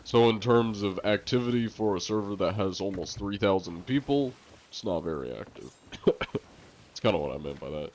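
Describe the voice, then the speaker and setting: Congested low-voiced man streaming. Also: animated, moderate pace, darkish tone, a little hiss or hum. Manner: chatty, greets viewers, laughs often. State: congested, coughing. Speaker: game streamer. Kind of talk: casual live-stream chat.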